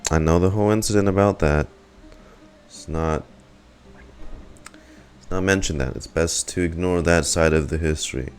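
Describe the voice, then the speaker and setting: Deep-voiced young male game streamer. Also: normal speed, long pauses, smooth. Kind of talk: casual game reaction commentary.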